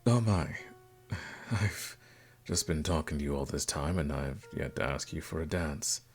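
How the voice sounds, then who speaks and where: Guy acting suave vampire lord for asmr, super deep gravelly voice, breathy, condescending n teasing, faint music behind. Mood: condescending, charming. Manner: formal, slow, seductive, menacing. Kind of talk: ASMR roleplay, intimate scripted monologue.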